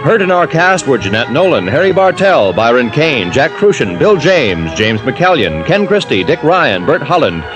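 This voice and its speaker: Casting: old-time radio announcer, male, mid-pitched, animated scripted delivery, music underneath. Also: normal speed, few pauses, rough recording. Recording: old radio broadcast recording. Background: orchestral theme music.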